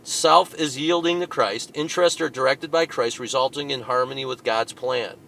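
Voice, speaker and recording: A man preaching a fiery sermon, reading out a tract and rebutting it, moving between loud, emphatic bursts and low asides. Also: normal speed, gravelly, slight background noise, poor audio.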